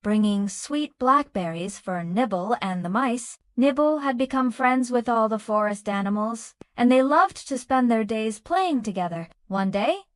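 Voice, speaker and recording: A woman narrates a scripted bedtime story for children. Her voice sits a little high. The sound is very dry, with no room around it. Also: no echo. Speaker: children's storyteller and channel host.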